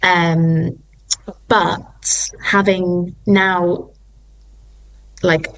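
A young woman talks eagerly about her life as a performer, circling back over her words as she goes. Her voice sits a little low for a woman. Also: little pitch movement, extremely slow, fairly bright tone, low-quality recording. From Wales. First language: Welsh. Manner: enthusiastic, rambling with restarts. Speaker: musical theatre performer and singer. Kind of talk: interview.

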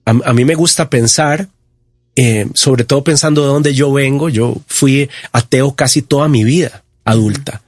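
A man in his fifties with a Costa Rican accent, giving personal testimony as an interview guest in a reflective, halting way. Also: mid-range voice, darkish tone, no room sound, clean studio sound. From Costa Rica. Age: 50s.

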